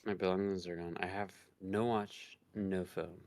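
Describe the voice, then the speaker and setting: A man voicing a despairing man stranded in a timeless void, in a slow dramatic visual novel monologue. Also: low voice, fairly even intonation, a little mellow, close to an everyday voice. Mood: bleak, despairing.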